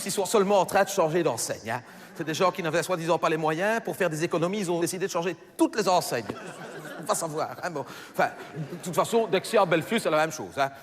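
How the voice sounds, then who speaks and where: A man's voice: high voice, in a large hall. A man voicing a chatty middle-aged housewife with a Belgian French accent, rambling and stammering through self-corrections in a stand-up monologue. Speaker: comedian.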